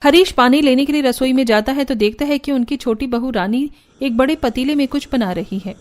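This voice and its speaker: A woman's voice: sits high, a little fast, darkish tone. A woman narrator reading a scripted cartoon story.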